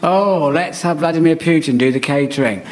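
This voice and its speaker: A man's voice: on the high side, normal speed. A man on a comedy panel show, bantering and grumbling bluntly as a comedian guest. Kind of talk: comic panel banter and rants. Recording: noisy live comedy show recording. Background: audience laughter.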